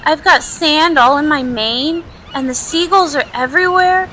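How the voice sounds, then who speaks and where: A woman's voice: high voice, normal speed, bright, forceful tone, a little hiss or hum, poor audio. A woman voicing a self-absorbed, dramatic young princess pony with a sassy, whiny manner. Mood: exasperated. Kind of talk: cartoon voice acting in a comic read-along.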